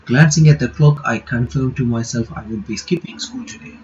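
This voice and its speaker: A man reading a visual novel aloud in a halting way, stopping for casual asides to his viewers. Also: mid-range voice, a little mellow, slight background noise. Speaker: reaction channel host. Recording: dull lo-fi audio with music behind.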